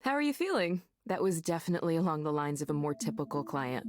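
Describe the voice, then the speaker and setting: A woman's voice: deep voice, very animated, fast talker. A woman playing a self-assured supervisor in a visual novel, explaining things in a conversational, confident way. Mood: attentive. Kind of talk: naturalistic visual novel voice acting.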